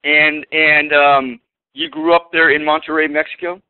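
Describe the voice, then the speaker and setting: A man's voice: on the high side, flat delivery, normal speed, smooth. Man hosting radio over a tinny line. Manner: stumbling, repeats words. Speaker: radio show host. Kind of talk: phone-in radio interview. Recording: tinny phone-quality line.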